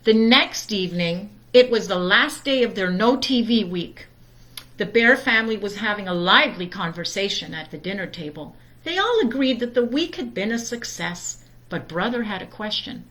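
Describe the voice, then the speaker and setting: A woman reading a children's story aloud in a deliberate, expressive storytime manner. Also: mid-range voice, poor audio. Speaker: storytime reader.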